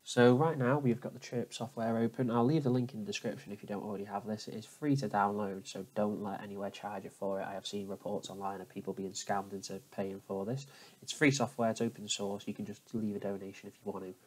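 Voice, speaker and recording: A man with a fairly low voice hosts a casual how-to. He explains each step plainly and informally, instructing the viewer directly as he works at a computer. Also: level tone, quick pace, hardly any pauses, a little mellow. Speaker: tutorial host. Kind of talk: casual step-by-step software tutorial. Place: indoors at a computer, voice over screen capture.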